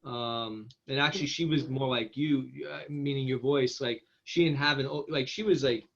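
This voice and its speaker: A man's voice: medium pitch, moderate pace, highs cut off. A man with an encouraging, rambling manner, giving casual feedback as the writer at a table read.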